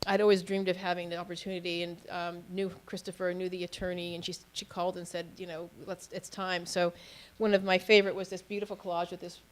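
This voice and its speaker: A woman's voice: lowish voice, level tone, fast, slight background noise, poor audio. A woman telling anecdotes informally as a panelist at a talk. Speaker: panelist, auction house specialist.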